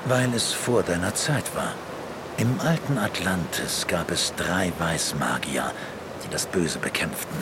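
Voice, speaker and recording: A grave ancient magician with a very deep, slow voice. He speaks solemnly and cryptically in a fantasy radio play, over a clear rumbling noise. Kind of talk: German fantasy audio drama acting.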